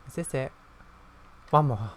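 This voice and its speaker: A man's voice: medium pitch, lively intonation, unhurried, fairly bright tone, a little hiss or hum. A man giving casual gameplay commentary in between reading dialogue aloud. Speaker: gaming YouTuber.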